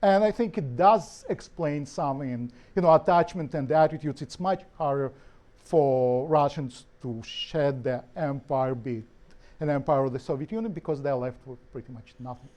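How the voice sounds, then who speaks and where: A man's voice: fairly high pitch, moderate pace, darkish tone. A man from Ukraine, an author answering questions at a panel discussion, thoughtful, often restarting his phrases with "you know". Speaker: author and historian, guest speaker. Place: lecture hall.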